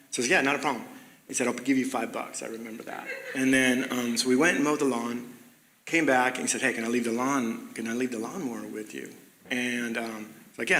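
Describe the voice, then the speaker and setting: Gravelly man telling stories in an echoey hall. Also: medium pitch, a bit bright. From Venezuela and Ecuador. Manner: rambling, laughing, many ums and restarts. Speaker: interview guest. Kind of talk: casual storytelling interview. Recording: echoey hall with long reverb.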